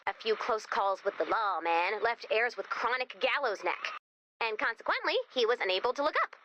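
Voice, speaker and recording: Bright, high-voiced, eager young game heroine. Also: female voice. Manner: chatty, expressive, conversational. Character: eager, soft-hearted young woman guiding a search. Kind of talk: video game voice acting.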